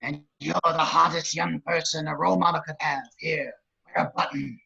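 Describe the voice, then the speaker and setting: A man playing a crude, lecherous, scheming villain running for mayor, with a very raspy voice and wisecracking delivery, in an amateur script read. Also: a little high, fairly even intonation, moderate pace, sharp tone, muffled recording, heavily acted. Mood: leering. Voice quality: very raspy, gravelly. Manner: leering, wisecracking.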